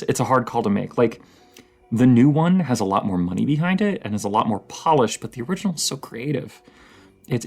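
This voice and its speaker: Man acting as a dorky, gravelly-voiced nerdy partner. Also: medium pitch. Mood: nerdy, musing. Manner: halting, stammering, nerdy enthusiasm. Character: dorky, excitable partner, a rambling horror-movie nerd. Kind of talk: intimate roleplay audio, casual chatty rambling.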